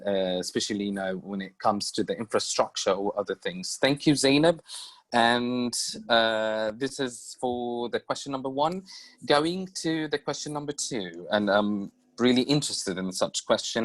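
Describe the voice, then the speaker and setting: A man moderating a video-call forum, speaking haltingly with many fillers and repeating his questions. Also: lowish voice. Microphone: computer mic on video call.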